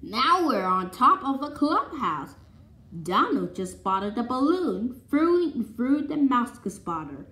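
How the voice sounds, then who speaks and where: High-pitched, animated woman reading slowly. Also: roomy sound. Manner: stumbles over words and restarts. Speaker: read-along presenter. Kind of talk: reading a children's picture book aloud. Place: indoor room at home with wooden floor.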